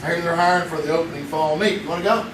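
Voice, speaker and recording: A man's voice: on the high side, steady tone, racing, lightly acted. A man playing an eager, persuasive young friend in a live old-time radio drama. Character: eager young pal chasing a racetrack job. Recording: roomy, distant live stage recording with noise.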